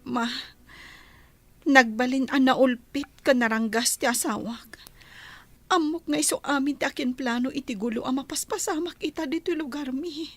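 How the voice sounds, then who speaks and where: Anxious pleading wife, radio melodrama, really high voice, close dry sound. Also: female voice, normal speed, dry recording. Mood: anguished. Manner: pleading, anguished. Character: worried, pleading young wife of a violent husband. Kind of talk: melodramatic radio drama acting.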